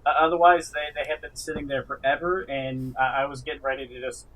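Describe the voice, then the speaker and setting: A man co-hosting a gaming podcast, chatting casually in a halting way and often repeating words. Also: medium pitch, flat delivery, fairly bright tone, low-quality recording. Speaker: podcast co-host.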